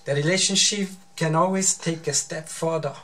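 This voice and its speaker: A man's voice: on the high side, steady tone, normal speed, fairly bright tone. A man in his thirties whose first language is Swiss German, speaking English in a casual chat and hesitating over his words. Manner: hesitant, searching for words, repeats himself. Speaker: husband guest. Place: small bedroom, indoors.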